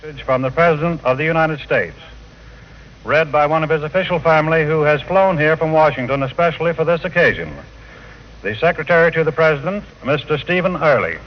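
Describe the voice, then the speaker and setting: A man's voice: fairly high pitch, fairly quick, muffled recording, faint music behind. A man announcing formally as a radio announcer on an old 1940 broadcast.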